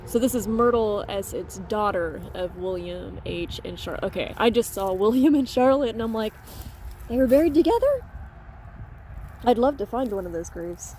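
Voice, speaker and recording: A woman walks through a cemetery with a handheld camera, reading old headstones aloud and musing to herself in a relaxed vlog manner. Her voice sounds stuffed up and congested, with a mid-range pitch. Clear outdoor noise runs underneath. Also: normal speed. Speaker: vlogger exploring cemeteries. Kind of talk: casual walking vlog narration.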